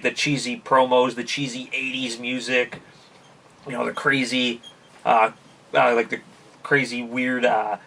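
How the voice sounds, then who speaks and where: A man's voice: on the high side, pushed and bright. A man from Canada hosting a casual review vlog, chatty and laughing often, with lots of fillers. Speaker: YouTube channel host. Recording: voice somewhat distant from mic.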